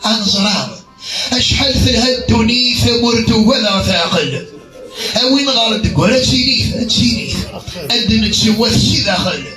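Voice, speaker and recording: High-voiced man reciting poetry, echoey. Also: a little fast. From Kabylie, Algeria. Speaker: poet. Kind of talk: poetry recitation over music. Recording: roomy echo, music behind. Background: music.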